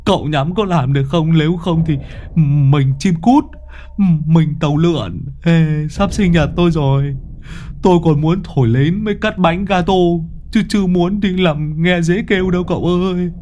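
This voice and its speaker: A man's voice: on the high side, moderate pace, mellow, a little hiss or hum, pitched above the performer's own voice, lightly acted. A man voicing a cowardly, wisecracking ghost-hunter sidekick who talks in a jittery, whiny way. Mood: panicky.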